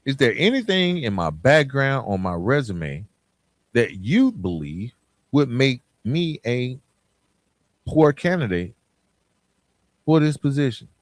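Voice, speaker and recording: Male, middle-aged American podcast host. Mid-range pitch, dry close sound, colloquial and emphatic motivational delivery. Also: very animated, slow, pauses often, no room sound. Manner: emphatic, colloquial, direct address with rhetorical questions and repetition. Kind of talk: motivational solo podcast talk.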